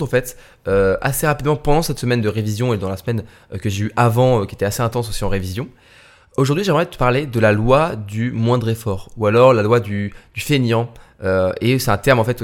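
A young man hosting his student podcast, talking casually and tiredly late at night, with lots of hesitations and restarts. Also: medium pitch. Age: young adult. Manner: hesitant, many fillers and restarts. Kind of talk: casual solo podcast talk.

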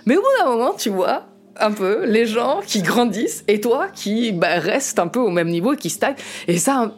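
A man in his late twenties from Italy, talking frankly and reflectively in an interview and often pausing to find his words. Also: high-pitched, sing-song. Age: late 20s. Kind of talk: candid personal testimony.

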